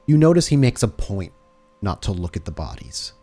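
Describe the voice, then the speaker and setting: A man acts as storyteller for a tabletop game. His voice is low and soft-edged, with a dark, relaxed tone. He describes scenes in a cinematic way. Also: moderate pace, faint music behind.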